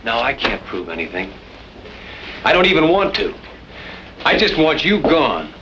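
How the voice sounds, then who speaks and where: A man's voice: medium pitch, very animated, unhurried, fairly bright tone. An actor playing a terse, suspicious man of the West who gives orders dryly in a radio western. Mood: accusing. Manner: terse, commanding, dry. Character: stern, suspicious western cattleman taking charge. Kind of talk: old time radio western acting. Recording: muffled, noisy old radio recording.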